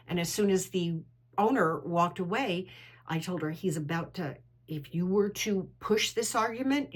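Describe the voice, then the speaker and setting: A woman in middle age with a fairly low voice, speaking her mind in an interview. She talks candidly and drifts from one story to the next, firm in her opinions and casual in tone. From United States. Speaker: women's travel club host. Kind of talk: interview answer, conversational monologue.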